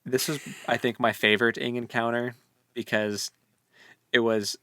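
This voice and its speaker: A man chats as a co-host in a book discussion, loose and jokey in his wording. His pitch sits in a middle range for a man. The sound is dry, with very little room on it. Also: flat delivery, normal speed, sharp tone, no room sound. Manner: informal, jokey, slangy. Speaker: podcast co-host.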